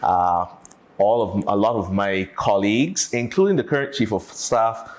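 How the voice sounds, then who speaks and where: A man's voice: fairly low pitch, moderate pace. A Barbadian man hosting a health podcast, chatty and playful with puns. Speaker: podcast host. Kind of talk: casual health podcast chat.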